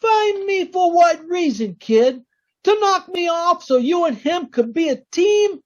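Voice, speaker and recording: An actor playing a vengeful, taunting crook in slangy 1940s radio style. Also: male voice, very high voice, expressive, measured pace. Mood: accusing, angry. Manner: slangy gangster talk, taunting. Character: menacing, double-crossed male crook, coldly vengeful. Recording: dull, lo-fi video-call audio.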